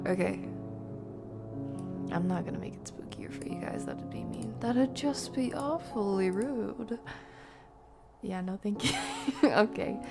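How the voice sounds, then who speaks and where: A woman streaming a game, chatting playfully with viewers and often dropping into hushed whispered asides. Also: medium pitch, creaky. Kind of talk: casual live-stream chat while playing.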